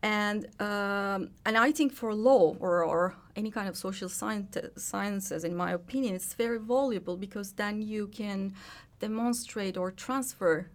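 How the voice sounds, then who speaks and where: A woman in her forties speaks English with a Turkish accent as an interview guest. Her pitch sits mid-range for a woman, and she breaks her sentences with restarts and small fillers as she thinks aloud. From Turkey. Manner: halting, many restarts and fillers. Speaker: guest, trademark attorney. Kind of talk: casual interview chat.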